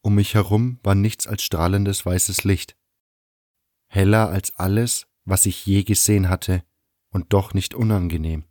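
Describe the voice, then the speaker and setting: A man narrating a fan radio play as a dramatic scripted story, with measured, deliberate phrasing and pauses. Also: low-pitched, steady tone, a little mellow, no echo, studio quality. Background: music.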